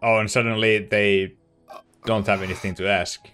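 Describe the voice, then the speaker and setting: A woman's voice: a bass voice, level tone, normal speed, clean tone, faint music behind. A woman streamer reacting casually to a game, with sympathetic, cooing little comments. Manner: soft-hearted, cooing, sympathetic. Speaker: let's play streamer.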